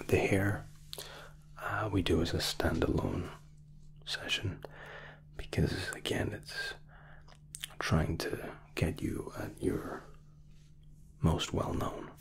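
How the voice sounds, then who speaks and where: A man performs an ASMR roleplay in a low, half-whispered voice with a gravelly crackle. He speaks close to the mic in a polite, formal way, pausing hesitantly as he goes. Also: huge pitch swings. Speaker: ASMR roleplay performer, playing a royal sculptor. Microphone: close microphone.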